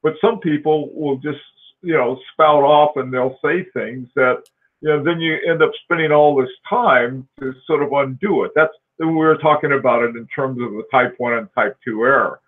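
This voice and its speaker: A man's voice: medium pitch, moderate pace. A man in his forties hosting a casual live-stream chat, rambling with fillers and frequent laughs. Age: 40s. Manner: rambling, laughing often, with many fillers and restarts. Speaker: host. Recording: tinny phone-like line.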